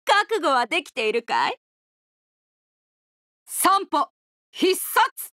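A bold female oni for a video game, high and swinging widely in pitch. She talks rough and tomboyish, with the easy swagger of a hard drinker who loves a fight. The sound is close and dry. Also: very animated, takes it slowly, sharp tone, dry recording, full character voice. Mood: eager, combative. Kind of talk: video game character voice lines.

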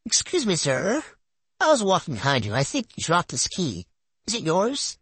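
A man voicing a polite, courteous young stranger in a visual novel, with a breathy, high voice. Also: wildly swooping pitch, moderate pace, fairly bright tone, no echo, heavily acted. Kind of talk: visual novel character read-aloud.

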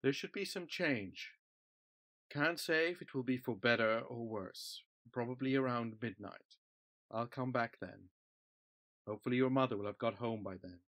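A man acting a calm family doctor giving plain advice. His voice is mid-range and recorded dry, with no room in it. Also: mid-range voice, moderate pace, dry recording, natural voice. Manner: calm, matter-of-fact.

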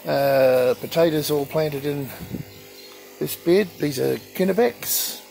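A man giving a casual, halting tour of his backyard garden, filmed on a handheld phone outdoors. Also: fairly high pitch, vocal fry, a little mellow, soft background music. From Australia. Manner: slow, halting, with frequent ums and uhs. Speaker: gardening channel host.

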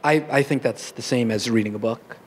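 Young male panelist, frank and measured, handheld mic on stage. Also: mid-range voice, a little hiss or hum. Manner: frank, measured, reasoning aloud. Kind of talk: panel discussion. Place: stage in a large auditorium.

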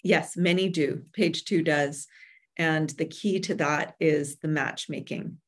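A woman in her forties giving measured, explanatory answers as an expert guest on a webinar video call. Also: deep voice, steady tone. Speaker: guest expert, hybrid publisher. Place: room with bookshelves at home or office, indoors. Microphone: computer video call.

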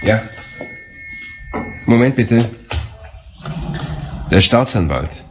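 A loyal cop sidekick asking quick questions, faint music under him. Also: male voice, lowish voice, animated. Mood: earnest. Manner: short, earnest questions. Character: loyal male police assistant, earnest.

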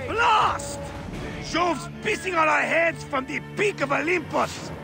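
An actor voicing a gruff old sea captain in a video game, bellowing and florid, over loud music and battle at sea. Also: male voice, extremely high pitch, lively intonation, slow pace, exaggerated voice. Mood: defiant, urgent. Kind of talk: video game dramatic acting.

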